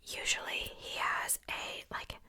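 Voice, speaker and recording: A woman's voice: unhurried, bright, forceful tone. A young adult woman hosting ASMR, whispering casually in a rambling, informal show-and-tell with self-joking asides. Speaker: ASMR video host.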